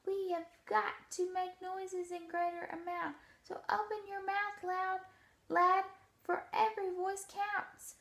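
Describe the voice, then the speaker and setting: Woman playing small male mayor, storybook, high hushed voice, declamatory, anxious. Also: flat delivery, takes it slowly, echoey room, heavily acted. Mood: solemn, rallying. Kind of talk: storybook read-aloud.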